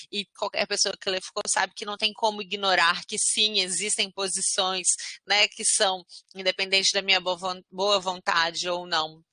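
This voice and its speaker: A woman's voice: medium pitch, bright, forceful tone, no room sound. A woman in her thirties from Minas Gerais with a Belo Horizonte accent, a university professor giving an online class from home over a video call, conversational and dotting her sentences with "né?". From Minas Gerais, Brazil. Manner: conversational, frequent "né?" tags.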